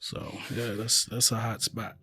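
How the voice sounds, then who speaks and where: A man's voice: fairly low pitch, little pitch movement, measured pace, sharp tone, breathy edge. A man on a comedy podcast talking in an encouraging, emphatic way, often repeating his own phrases. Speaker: comedian guest. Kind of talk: casual podcast chat.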